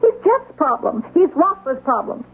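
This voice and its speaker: An anxious, sharp-tongued young American wife. Her high voice swings expressively as she nags and frets. It comes through a thin, slightly noisy old radio recording. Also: moderate pace, phone-quality sound. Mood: scolding, indignant. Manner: emotional, nagging, expressive delivery. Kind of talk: old-time radio drama acting.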